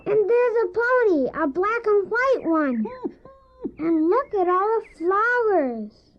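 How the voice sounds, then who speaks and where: A woman's voice: very high voice, big pitch swings, takes it slowly, full character voice. A woman playing a poor young newsboy, earnest, trusting and wide-eyed, in an audio drama. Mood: awed. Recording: muffled old cassette drama, faint noise.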